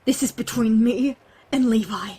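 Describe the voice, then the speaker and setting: A woman's voice: a little high, moderate pace, warm tone, slightly rough. A woman playing an angry, foul-mouthed, confrontational survivor in an audio drama, sarcastic and demanding.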